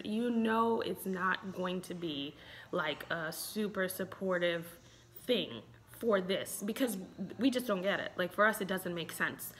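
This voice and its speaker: A woman in her thirties with an American accent, talking in a casual, expressive way as a relationship expert giving a review. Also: medium pitch, a little hiss or hum. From Chicago, United States. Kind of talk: casual vlog commentary. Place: small room indoors at home.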